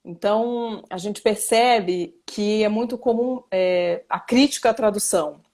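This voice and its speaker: A woman's voice: mid-range voice, unhurried, a little mellow. A Brazilian woman who works as a simultaneous interpreter and journalist, explaining her work in a casual live interview with examples and self-corrections. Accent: Brazilian Portuguese. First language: Portuguese. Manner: reflective, explains with examples and restarts.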